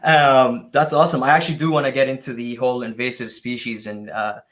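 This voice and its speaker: A man's voice: mid-range voice, little pitch movement, quick pace, fairly bright tone. Man hosting a casual podcast. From Canada. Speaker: podcast host. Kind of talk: casual interview.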